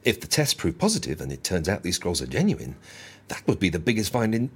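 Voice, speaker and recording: Earnest Egyptologist, audio drama: very lively intonation, mid-pitched, husky, eager to explain. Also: male voice, brisk, heavily acted. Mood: curious, excited. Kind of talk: naturalistic audio drama acting.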